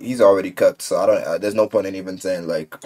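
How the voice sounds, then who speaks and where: Lowish-voiced man doing casual reaction commentary. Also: fairly even intonation, fast, warm tone. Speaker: reaction video creator. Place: bedroom, indoors.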